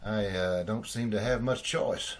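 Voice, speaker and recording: A man's voice: on the low side, a little slow. A man playing an alarmed, sputtering surgeon in an old-time radio drama. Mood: resigned. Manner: excitable, sputtering, incredulous. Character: alarmed, indignant male surgeon cousin under pressure.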